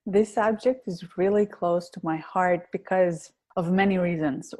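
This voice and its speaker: A woman's voice: lowish voice, fairly even intonation, measured pace, a little mellow. Woman, heavy Greek accent, podcast guest, earnest, thoughtful, some hesitations. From Greece. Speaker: guest, public speaking coach. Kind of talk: podcast interview.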